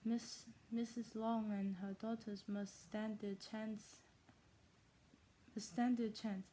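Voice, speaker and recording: A woman playing a dry, teasing older gentleman from a classic novel, in a clumsy put-on German and then Scottish accent, stumbling and restarting words. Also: mid-range voice, level tone, slow, slight background noise, close to an everyday voice, rough recording. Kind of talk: amateur read-aloud of a classic novel.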